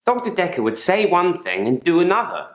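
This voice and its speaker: A man's voice: high-pitched, sing-song, fairly quick. A man playing a troubled gravedigger in therapy for a video game, speaking in a guarded, dryly sardonic, articulate way. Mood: guarded, bitter. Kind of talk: naturalistic acted monologue in therapy session. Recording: echoey room.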